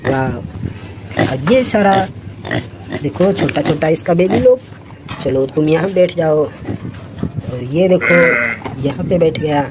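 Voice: mid-range voice, lively intonation, takes it slowly, pauses often. Exclamatory toy narrator, very noisy recording. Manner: exclamatory, repeating interjections of wonder. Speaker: toy video host. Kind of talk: playful narration of toy play for children. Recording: very noisy background.

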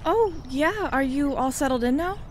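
A woman's voice: high-pitched, animated, normal speed, a little hiss or hum. A woman playing a breezy young friend, slightly guarded, in an amateur audio drama. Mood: casual.

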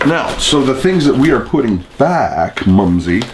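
Middle-aged man, casual home video host, joking banter, even mid pitch, noisy room, camera mic across a table. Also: unhurried. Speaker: channel host.

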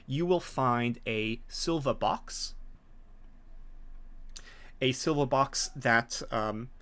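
Man narrating a tabletop game, halting delivery. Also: medium pitch, a bit bright. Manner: hesitant, halting explanation. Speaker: game master. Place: small home room, video call.

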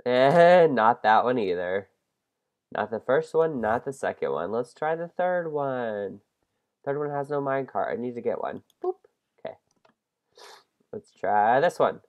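Congested young male game host, animated, self-deprecating, prone to yelps. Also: medium pitch, unhurried, long pauses. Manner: excitable, self-deprecating, shrieks at mistakes. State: congested from a head cold. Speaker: gaming channel host. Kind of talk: casual Minecraft let's-play commentary.

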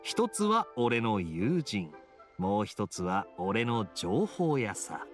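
An actor voicing a suave, teasing young knight in a video game, with a smooth, playful, unhurried delivery. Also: male voice, lowish voice, very animated, faint music behind. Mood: teasing, sly. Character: suave, teasing young male knight with sly gambler's wit. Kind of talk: video game dialogue acting.

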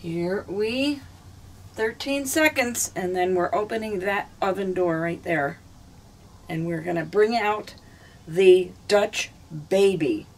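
An older woman with a hoarse throat, chatting casually through a cooking vlog in a small camper kitchen. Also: mid-range voice, takes it slowly, a little mellow. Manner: chatty, homey, rambling. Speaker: cooking vlogger.

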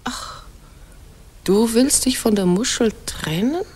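Proud, animated Greek wife. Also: female voice, fairly high pitch, slightly rough, full character voice. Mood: angry. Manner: mocking, coquettish, quick to needle. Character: Penelope, proud, sharp-tongued, flirtatious Greek wife. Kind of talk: radio play dialogue.

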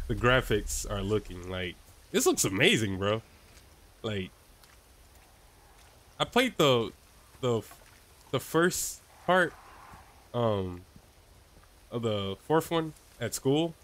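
A man's voice: mid-range voice, fairly bright tone. A young man giving casual, rambling gameplay commentary from his room, slightly stuffy from a cold and dropping "bro" and "like" often. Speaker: gameplay streamer. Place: his own room, lights off.

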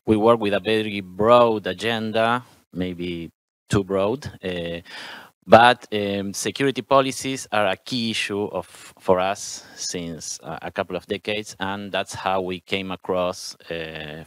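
Male panellist, Argentine Spanish accent, low voice, dry close sound, careful and halting non-native delivery. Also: steady tone, fairly bright tone, no echo. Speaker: human rights advocate, panellist. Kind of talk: prepared panel remarks. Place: conference hall at a panel table. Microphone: handheld microphone.

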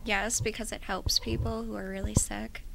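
A young woman of average pitch answers interview questions tentatively and casually, like a student. She speaks into a handheld microphone, with slight noise and clicks behind her. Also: level tone, normal speed, vocal fry. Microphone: handheld microphone held out by interviewer. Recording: faint background noise, clicks.

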